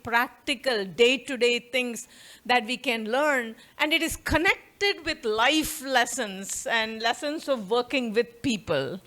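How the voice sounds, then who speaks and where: Quick, bright-voiced Indian woman lecturing. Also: high-pitched. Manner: quick, warm, laughs often, conversational. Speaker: professor giving a talk. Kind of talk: conference talk to an audience. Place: university auditorium.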